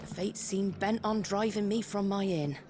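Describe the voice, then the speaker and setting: An actress playing a hardy, defiant innkeeper in a fantasy game. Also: female voice, medium pitch, normal speed, over music, close to an everyday voice. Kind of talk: scripted fantasy game dialogue.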